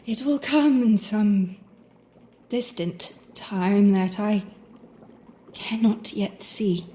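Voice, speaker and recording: An actress playing a proud, wounded young bride in a gothic audio drama, speaking in a formal, solemn manner. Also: female voice, medium pitch, very slow, dark tone. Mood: cold, resigned.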